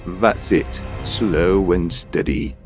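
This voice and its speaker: A man's voice: deep voice, very slow, a little mellow, faint music behind. A man playing a smug, cultured scientist villain in a motion comic, precise, wordy and self-assured. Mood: smug explaining.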